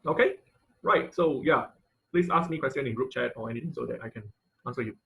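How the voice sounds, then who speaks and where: A man teaching an informal online class and taking questions, speaking haltingly and often repeating phrases as he searches for words. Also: medium pitch, creaky, fairly bright tone. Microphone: online video-call audio.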